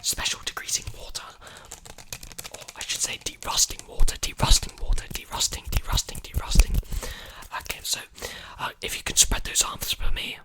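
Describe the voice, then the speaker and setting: Whispering young man doing ASMR roleplay. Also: sharp tone. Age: young adult. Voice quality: breathy, unvoiced whisper. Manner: soothing, repeats phrases slowly. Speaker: ASMR performer playing a robot repair technician. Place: small indoor room with clothes hanging behind. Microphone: large desktop condenser mic, very close.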